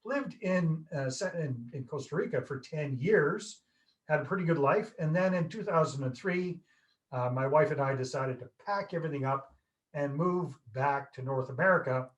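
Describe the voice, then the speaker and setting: A chatty Canadian man in his fifties tells stories with a joking, self-deprecating ease. His voice sits a little high for a man. He is talking over a video call. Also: normal speed, rough recording. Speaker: guest. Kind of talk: casual interview chat.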